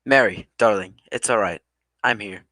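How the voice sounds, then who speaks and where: Young romantic lead for radio drama male actor: bright, lowish voice, earnest, devoted, dry sound. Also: moderate pace, dry recording. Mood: tender, reassuring. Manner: earnest, eager to please. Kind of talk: old-time radio drama acting.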